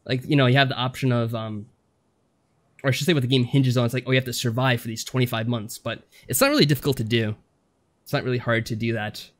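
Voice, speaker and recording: A man's voice: medium pitch, steady tone, fast talker. A man talking casually as a podcast co-host, rambling through anecdotes and acting out little asides. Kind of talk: casual chat reviewing games.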